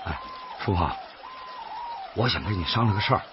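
An actor playing an earnest, stubborn, cheerful laid-off worker in a radio drama, coaxing and folksy, with a Northeastern Chinese accent. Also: male voice, lowish voice, expressive, brisk, lightly acted. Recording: dull lo-fi audio, loud background noise.

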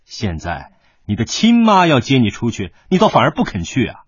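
A man's voice: mid-range voice, wildly swooping pitch, moderate pace, husky, no room sound, muffled recording. A male actor playing a proud, devoted working-class father in a radio drama, middle-aged and emphatic, torn between sternness and tenderness. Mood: coaxing. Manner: emotional, sighing, emphatic. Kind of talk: Chinese radio drama acting.

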